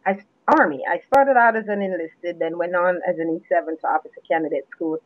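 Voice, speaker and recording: A woman from Jamaica, a guest poet, chatting in an interview and telling her story in a relaxed way with restarts, heard over a phone line. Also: lowish voice, quick pace. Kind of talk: casual interview chat. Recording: tinny phone line, dry.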